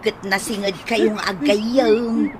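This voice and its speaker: A woman's voice: medium pitch, steady tone, takes it slowly, noisy background, natural voice. A woman playing a sympathetic but chiding friend in an Ilocano comedy radio drama, fussy and exclaiming with an Ilocano accent. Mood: concerned.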